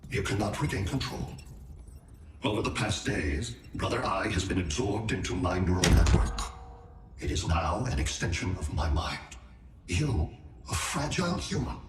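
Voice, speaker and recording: A man playing a cold, haughty alien machine intellect in a video game, speaking in measured, formal phrases. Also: sits low, lively intonation, background noise. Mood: cold, menacing. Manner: measured, pompous, formal and deliberate. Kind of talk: video game cutscene acting. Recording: echoing voice under loud music.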